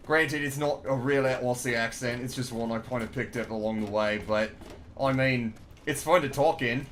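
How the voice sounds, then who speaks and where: A man's voice: mid-range voice, a little slow, fairly bright tone, a little hiss or hum. A young man streaming a game in casual chat, rambling and swearing, laughing often, reading chat aloud and playing with a put-on accent. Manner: playfully tries out a put-on accent.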